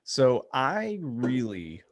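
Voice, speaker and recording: A man's voice: medium pitch, huge pitch swings, drawn-out words, slight background noise. A man co-hosting a podcast, chatting casually with dry, irreverent, self-mocking humor. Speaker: podcast co-host. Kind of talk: casual joking chat.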